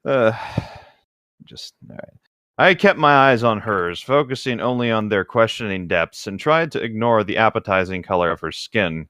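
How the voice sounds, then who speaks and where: A man's voice: lowish voice, lively intonation, moderate pace, fairly bright tone, no room sound, clean studio sound. A man co-hosting a comedic read-along, reading a book aloud and breaking off into casual joking commentary.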